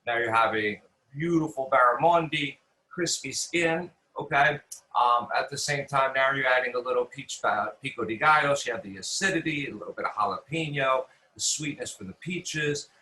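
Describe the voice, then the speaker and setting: A man's voice: mid-range voice, moderate pace, sharp tone, a little hiss or hum. An American man from New York City talking casually as a chef being interviewed, often repeating himself and restarting his sentences. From New York City, United States. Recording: roomy echo.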